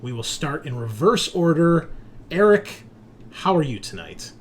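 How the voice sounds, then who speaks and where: A man's voice: fairly high pitch, sing-song, a little slow. American man hosting, noisy background. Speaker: host and game master. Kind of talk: casual chat introducing a tabletop game stream.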